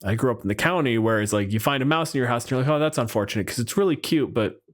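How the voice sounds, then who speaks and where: A man talks as a podcast co-host in loose, conversational style. He is wry and profane, with a mid-pitched voice. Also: racing. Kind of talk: casual chat about tabletop game monsters.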